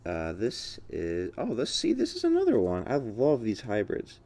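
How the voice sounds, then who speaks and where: A man's voice: on the low side, wildly swooping pitch, moderate pace, mellow. An American man giving casual, enthusiastic, rambling unboxing commentary as a channel host.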